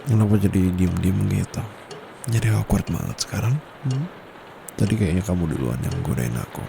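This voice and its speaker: A young man voicing a teasing private tutor who turns out to be dominant, in a soft, slow ASMR roleplay delivery close to the mic. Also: deep voice, gravelly, full character voice. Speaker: voice actor. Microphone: close condenser mic. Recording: steady background noise with traffic.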